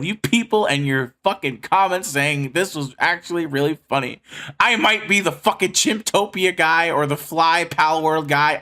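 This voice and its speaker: A man's voice: on the high side, sharp tone. A man speaking earnestly and emphatically to his viewers in a personal monologue, swearing freely. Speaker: video creator addressing subscribers.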